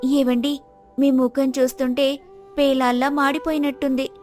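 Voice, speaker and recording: A woman narrator plays a caring village wife with a high voice. She pleads earnestly and with concern in a moral tale, music playing softly behind. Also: flat delivery, normal speed, natural voice. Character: compassionate, worried village wife urging her husband. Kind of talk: moral-story character dialogue.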